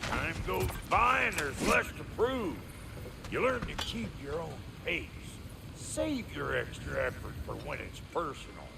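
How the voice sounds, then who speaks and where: An actor playing a gruff, foul-mouthed dwarf blacksmith in a video game, with a gravelly voice, an American accent and a folksy, grumbling way of talking. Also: male voice, on the high side, very animated, a little slow, very noisy, full character voice. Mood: reflective. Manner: folksy, crude, grumbling, colloquial. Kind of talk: video game character acting. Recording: game dialogue over background music.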